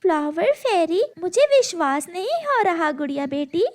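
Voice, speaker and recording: A woman voicing a tender, loving poor mother bird in a gentle, affectionate way for a cartoon. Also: extremely high pitch, very animated, normal speed, pitched above the performer's own voice. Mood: puzzled. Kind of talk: Hindi moral-story cartoon dialogue.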